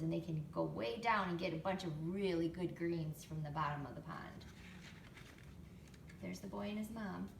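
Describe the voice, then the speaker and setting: A woman reading a picture book to young children expressively, pausing to explain things and ask them questions. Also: fairly low pitch, creaky. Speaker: storytime reader, teacher to young children. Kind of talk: picture book read-aloud with chatty asides. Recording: roomy, distant mic, faint background noise.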